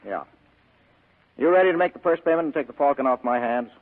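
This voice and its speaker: An actor playing a tough, wisecracking private detective in 1940s radio style, husky and clipped, on a tinny vintage broadcast. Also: male voice, mid-range voice, brisk, close to an everyday voice. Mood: defiant. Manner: clipped, hard-boiled, commanding.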